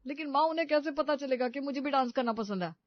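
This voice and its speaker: A woman's voice: high voice, quick pace, dry recording, lo-fi sound, close to an everyday voice. A woman voicing a whiny, hesitant young son-in-law in an exaggerated Hindi comedy cartoon. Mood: whining, frustrated.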